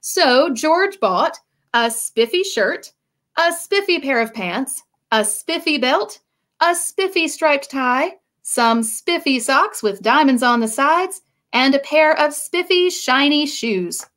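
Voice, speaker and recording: Woman, highish voice, children's librarian, expressive picture-book reading, direct questions to young listeners. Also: takes it slowly.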